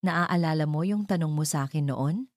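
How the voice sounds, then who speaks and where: A woman voicing a gentle, earnest man in love, a devoted young father in a narrated romance drama. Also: sits low, moderate pace, no room sound, studio quality, lightly acted.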